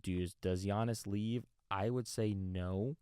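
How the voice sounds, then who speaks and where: A man hosting a sports show with unscripted commentary, often halting and rephrasing mid-sentence. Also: fairly low pitch, fairly even intonation, mellow, clean tone, no echo, studio quality.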